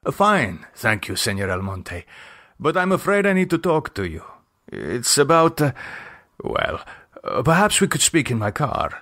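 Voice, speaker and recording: A man voicing a polite, formal male doctor in an audiobook, courteous and quietly menacing. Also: mid-range voice, very animated, measured pace. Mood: polite, insistent. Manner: polite, formal, hesitant smoothness.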